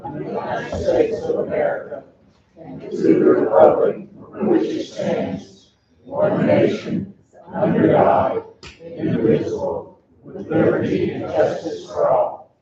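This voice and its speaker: A man reciting the pledge with a room at a town meeting, in a slow, gravelly voice. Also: on the high side, wildly swooping pitch, mellow, a faint crowd, slight background noise. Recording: echoey hall, distant, dull sound.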